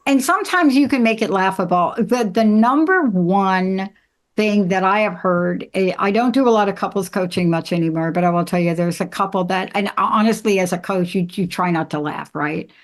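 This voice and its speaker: A woman's voice: mid-range voice, moderate pace. A woman hosting a conversational relationship-advice podcast, speaking emphatically and straight to her listeners. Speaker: podcast host and coach.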